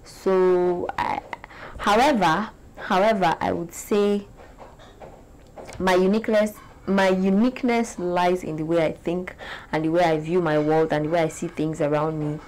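A young woman from Nigeria with a Nigerian accent, talking to the camera in a casual, animated vlog from a small bright room. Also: fairly low pitch, unhurried, a little hiss or hum, rough recording. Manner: animated, chatty, gestures a lot. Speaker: beauty vlogger.

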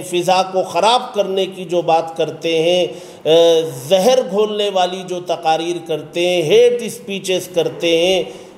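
A man in his fifties with an Indian accent, giving a press statement as a cleric in a halting, measured way. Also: sits high, a little mellow. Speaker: cleric speaking at a press conference. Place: bare indoor room with hard walls. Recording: echoey room.

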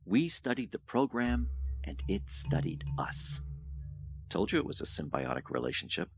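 A man's voice: sits low, big pitch swings, moderate pace, creaky, heavily acted. An actor playing an older male professor in an audio drama, lecturing in formal, condescending, coldly rational tones. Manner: pompous, lecturing, formal wording. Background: music.

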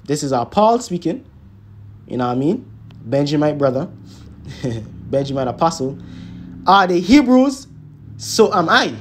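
A man's voice: fairly high pitch, big pitch swings, unhurried, long pauses, slight background noise. A man teaching scripture in an emphatic, informal way, peppering his talk with tag words and rhetorical questions. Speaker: religious teacher.

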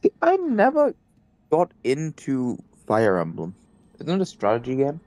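A man's voice: mid-range voice, huge pitch swings, measured pace, darkish tone. A young man with a stuffy nose, chatting casually and enthusiastically with friends while playing a game. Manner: enthusiastic, jumps between topics. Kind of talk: casual chat.

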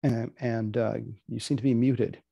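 A man moderates an online event in a creaky voice of middling pitch. He is on a video call, with very little room in the sound. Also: steady tone, a little slow, mellow, no room sound, clean studio sound. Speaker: host and moderator.

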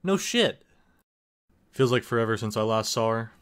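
A man's voice: mid-range voice, lively intonation, moderate pace, slight background noise. A man doing a sarcastic, foul-mouthed comedic dub of a video game. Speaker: parody dubber voicing the game's protagonist. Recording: loud music behind the voice. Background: game music.

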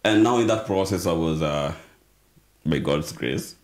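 Kenyan man in his thirties, very low voice, rambling storyteller, podcast mic in a small studio. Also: unhurried. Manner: storytelling, mixes in Swahili phrases, many restarts. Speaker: guest. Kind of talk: casual interview. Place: small indoor studio with dark curtains. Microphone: desk podcast mic on arm.

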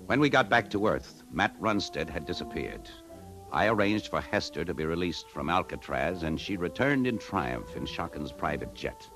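A very low-pitched disillusioned ad man from 1950s radio drama. He speaks in a hardboiled, reflective manner, like a weary man recounting his own downfall. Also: big pitch swings, moderate pace, music in the background. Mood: reflective, uneasy. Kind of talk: 1950s radio drama acting and first-person narration.

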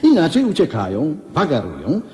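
An actor playing a loyal old government minister, reflective, courtly and gently lecturing, in a radio drama. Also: male voice, a little high, wildly swooping pitch, moderate pace. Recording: reverberant hall-like sound.

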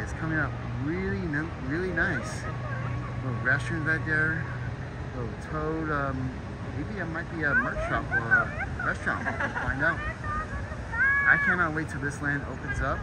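A man narrates casually as he films, full of excited exclamations and "uh"s. His voice sits fairly high. Loud outdoor noise runs underneath the phone recording. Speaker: theme park vlogger. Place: outdoors at a theme park overlook.

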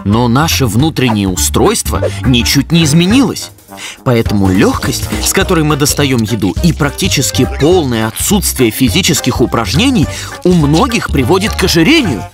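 A man playing a didactic science narrator in an educational cartoon, lecturing with gentle irony. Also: mid-range voice, lightly acted.

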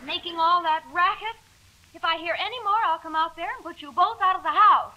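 An actress playing a scolding small-town wife in a 1940s radio drama. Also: female voice, very high voice, a little fast, sharp tone, heavily acted. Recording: dull old 1940s radio broadcast.

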